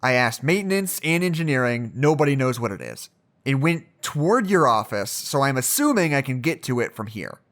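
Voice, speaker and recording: An actor playing an earnest, caring but stubborn coworker who prods gently and hesitantly, in a naturalistic audio drama. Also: male voice, medium pitch, big pitch swings, normal speed. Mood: serious.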